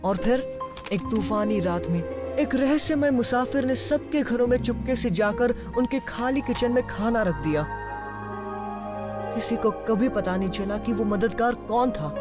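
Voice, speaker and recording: A woman voicing a friendly young townswoman who explains things like a storyteller in a cartoon dub. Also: fairly high pitch. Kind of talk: animated series dub. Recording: clear music behind the voice. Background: music.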